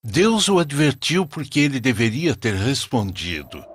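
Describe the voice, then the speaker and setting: A Brazilian man narrating a religious cartoon story in a solemn, measured storytelling manner. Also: mid-range voice, sing-song, noisy background.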